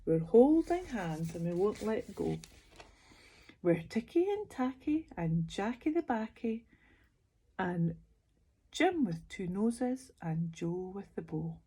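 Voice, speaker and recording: A woman's voice: medium pitch, sing-song, takes it slowly, mellow, breathy edge, exaggerated voice. A woman voicing cheeky little paper dolls who chant a defiant taunt in a sing-song way. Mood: defiant, gleeful.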